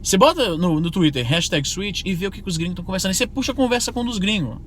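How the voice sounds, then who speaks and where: A Brazilian man from Fortaleza in his thirties, vlogging casually about emigrating, with a slangy, animated way of talking and frequent swearing. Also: high-pitched, rapid-fire. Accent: neutralized Brazilian Portuguese. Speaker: YouTuber. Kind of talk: casual vlog monologue. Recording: loud street and car noise.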